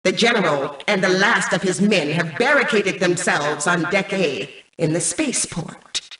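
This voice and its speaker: A woman voicing a cold, arrogant mainframe intelligence in a video game, speaking in a formal, measured, commanding way. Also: fairly low pitch, expressive, a bit bright, rough recording. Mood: calm, imperious. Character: cold, arrogant female mainframe artificial intelligence, calculating and imperious. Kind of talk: scripted video game voice acting.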